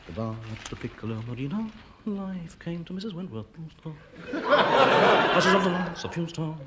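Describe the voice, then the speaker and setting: A man's voice: on the high side, animated, normal speed, noisy background, close to an everyday voice. An actor playing a bored, restless man stuck at home on a Sunday, grumbling and humming in a 1950s radio sitcom. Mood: idly singing. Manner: grumbling, tuneless humming and singing. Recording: old radio broadcast with studio audience laughter.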